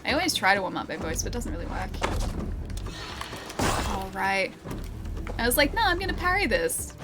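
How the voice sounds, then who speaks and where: A woman streamer chatting and laughing with her viewers as she plays a game, her voice a little croaky. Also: medium pitch, big pitch swings, normal speed. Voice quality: voice a bit croaky early in stream. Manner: chatty, giggly, talks to chat while playing. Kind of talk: live gameplay commentary. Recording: game soundtrack playing behind. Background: game music.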